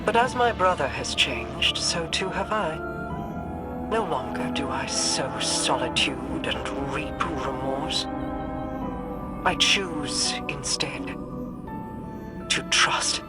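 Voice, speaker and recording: A woman's voice: low voice, lively intonation, over loud music. A woman playing a regal, aloof queen in a video game, with a stately, solemn and reflective delivery. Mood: solemn, resolved. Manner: slow, stately, measured. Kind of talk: scripted game dialogue.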